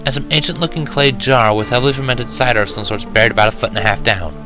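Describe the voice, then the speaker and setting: A man's voice: mid-range voice, fast talker, over music, close to an everyday voice. A man voicing a bossy, skeptical young female unicorn scholar in a fan-fiction story reading, dry and lecturing.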